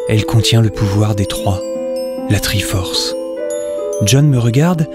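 A man's voice: on the low side, lively intonation, measured pace. A man playing a wry, overwhelmed but keen young hero who narrates his own adventure in an audio drama. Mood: narrating, reflective. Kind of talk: first-person audio drama narration and dialogue. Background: prominent music.

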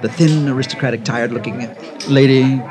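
Actor as a breezy, fast-talking party host. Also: male voice, mid-range voice, fairly even intonation, close to an everyday voice. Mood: breezy, amused. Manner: breezy, mocking. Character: breezy, mocking male rich party host. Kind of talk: 1950s radio drama acting. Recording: old radio recording with crowd behind.

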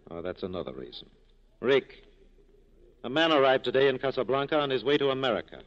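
An actor playing a wryly corrupt police captain with an urbane, teasing, courtly manner, in 1940s radio style. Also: male voice, medium pitch, big pitch swings, brisk, natural voice. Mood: wry, self-assured. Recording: muffled 1940s radio broadcast recording.

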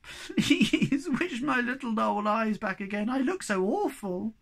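Reader as girlish young female creature, storybook, high-pitched, vain, emotional, pleading. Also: animated, normal speed. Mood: distressed.

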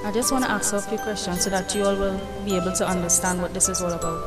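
A woman's voice: medium pitch, fairly even intonation, fast. A woman, interviewing politely and formally for an ad. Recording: loud chiming music bed.

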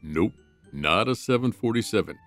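A man voicing a gruff, stern German shepherd guard dog in a comic dub, blunt and deadpan. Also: lowish voice, moderate pace, soft background music. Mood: matter-of-fact. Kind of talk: comic dub character acting.

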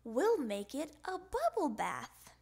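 A woman's voice: high-pitched, wildly swooping pitch, slow pace, vocal fry, a little mellow, exaggerated voice. A woman voicing a caring, coaxing mother frog who is tiring at bedtime in a storybook.

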